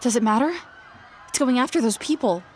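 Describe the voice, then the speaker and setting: A woman's voice: a little high, expressive, fairly quick, heavy background noise, close to an everyday voice. Woman playing a blunt, skeptical teenage girl. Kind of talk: audio drama acting.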